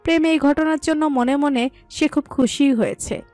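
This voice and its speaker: A woman narrates a scripted romantic story in a high voice. Soft background music runs under her reading. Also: moderate pace.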